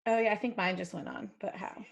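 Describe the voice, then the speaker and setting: A woman's voice: mid-range voice, animated, a little fast, creaky, highs cut off. A woman co-hosting a podcast, chatting casually and playfully with her friends. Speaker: podcast co-host. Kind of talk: casual chat.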